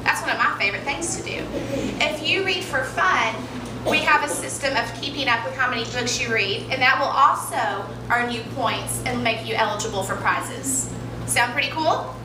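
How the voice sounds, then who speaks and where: A woman's voice: fairly high pitch, normal speed. A young woman teacher speaking into a handheld mic at a school assembly, explaining a reading program and asking the children questions in an upbeat way. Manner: upbeat, addresses kids with questions. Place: large school gym in front of students. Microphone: handheld mic, recorded by far camera. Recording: echoey gym, from far off, noisy.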